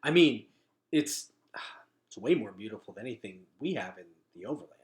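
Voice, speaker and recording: A man's voice: lowish voice, expressive, moderate pace, lightly acted. A man voicing an awed, plain-spoken boy in a storybook, with casual, simple phrasing. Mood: admiring.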